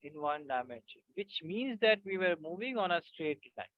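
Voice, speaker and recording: A man teaching physics in an online class, halting with many fillers and often checking that students follow. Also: a little high, big pitch swings, no room sound. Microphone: video call. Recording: tinny dry call audio.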